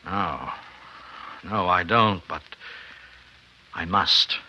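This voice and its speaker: An anxious man of the cloth in an old radio drama. His low voice is sharp and strained, halting and pleading with earnest conviction, over faint recording noise. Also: highs cut off, exaggerated voice. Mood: disapproving, troubled. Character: anxious, devout male minister, pleading and morally troubled. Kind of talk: 1950s radio drama acting.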